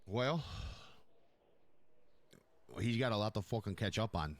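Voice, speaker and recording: A man's voice: lowish voice, lively intonation, measured pace. A man playing a profane, commanding Italian-American mob boss in improvised roleplay, repeating himself for emphasis. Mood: emphatic, approving. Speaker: streamer playing a crime boss in online roleplay. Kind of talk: improvised in-character roleplay dialogue.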